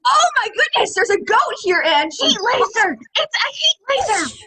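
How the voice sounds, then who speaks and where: Young woman acting flustered radio reporter, very high-pitched. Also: very animated, pushed and bright, full character voice. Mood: awestruck, alarmed. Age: teens. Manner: melodramatic, halting when flustered. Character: flustered, awestruck radio reporter at an alien invasion. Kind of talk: mock radio news reporting in a student play. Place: home room over a video call. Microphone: computer microphone on video call.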